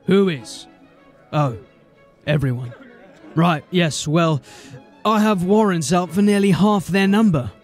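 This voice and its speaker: Grumbling fantasy watchman, fairly high voice, blunt, wry, dramatic dialogue over music. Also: animated, slow pace, pauses often, a little mellow. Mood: wry, playful. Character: gruff, wry male fantasy city watch officer. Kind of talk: fantasy audio drama acting.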